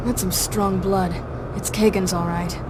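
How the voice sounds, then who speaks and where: An actress playing a cocky, vengeful action heroine in a video game, with a brash, wry delivery. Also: female voice, fairly low pitch, steady tone, measured pace, lightly acted. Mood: eager, excited. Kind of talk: character acting in a video game. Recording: clear ambient noise behind voice.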